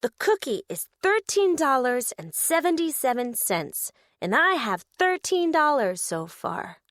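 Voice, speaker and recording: A woman's voice: high voice, sing-song, unhurried, fairly bright tone, no room sound, studio quality, full character voice. A woman voicing an eager, helpful storybook character with an earnest, exclamatory manner. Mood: excited, hopeful.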